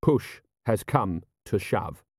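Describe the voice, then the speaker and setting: A man's voice: lowish voice, expressive, takes it slowly, a little mellow, no echo. A principled male commander, played by a storyteller, blunt and weary, with indignation close under the surface. His speech is plain and unadorned, in a dry audiobook recording. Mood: brisk, bewildered.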